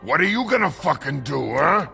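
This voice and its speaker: A man's voice: on the high side, very animated, moderate pace, bright, forceful tone, soft background music, full character voice. A man voicing a drunken, brutish woodsman in a video game, snarling, belligerent and foul-mouthed. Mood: belligerent taunting. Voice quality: gravelly.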